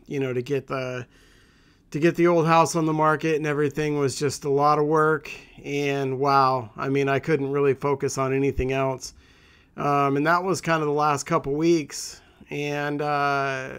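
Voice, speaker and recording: A man's voice: a little high, fairly bright tone, smooth. A man hosting a gaming channel, chatting casually to viewers in an informal, rambling, conversational way.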